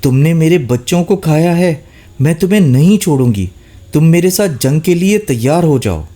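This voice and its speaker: A man's voice: sing-song, dark tone. A man voicing a mother goat in a children's story, with a raised, urgent voice. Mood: defiant. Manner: high, pleading, then defiant. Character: anxious, fierce mother goat.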